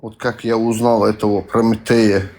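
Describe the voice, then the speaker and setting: A man's voice: lowish voice, flat delivery, moderate pace, darkish tone. A man with a Caucasian accent, calling in to a live voice chat, talking casually and rambling with many hesitations and restarts. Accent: Caucasian-accented Russian. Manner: rambling, hesitant, fillers and self-corrections. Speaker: caller on a live stream.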